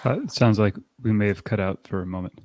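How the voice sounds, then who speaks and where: A man hosting a live podcast, explaining things in a measured way. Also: fairly low pitch, a little mellow, no room sound. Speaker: podcast host.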